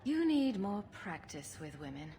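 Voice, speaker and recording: A woman's voice: medium pitch, sing-song, measured pace, warm tone. An actress playing a sarcastic female rogue adventurer in a video game, dry and teasing. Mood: dry mockery.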